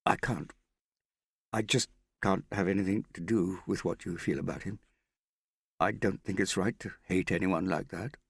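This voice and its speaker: A distraught young woman begs and pleads, her voice lifted high and tight, as a man reads her part. The delivery sits close and dry, with a husky edge underneath. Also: fairly even intonation, normal speed, pauses often, no room sound, full character voice. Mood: upset. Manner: anxious, pleading.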